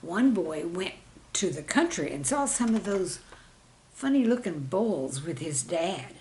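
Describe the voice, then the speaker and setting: A woman, a teacher, reading her own scripted humorous anecdotes in a deliberate storytelling manner. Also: on the low side, very animated, dark tone, slight background noise.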